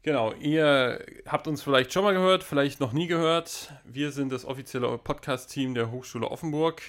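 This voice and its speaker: A man's voice: medium pitch, normal speed, a bit bright. A man in his twenties hosting a student podcast, with an upbeat intro that sounds read from a script. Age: 20s. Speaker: podcast host.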